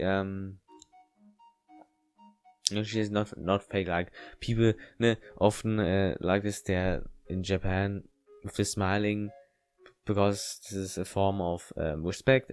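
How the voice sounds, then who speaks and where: Halting man commentating over game music. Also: lowish voice. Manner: halting, searching for words. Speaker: let's play host. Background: music under the voice.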